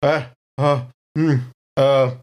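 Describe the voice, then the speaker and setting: A man's voice: mid-range voice, little pitch movement, takes it slowly, a little mellow. A man playfully and casually narrating his character's actions in a tabletop roleplaying game.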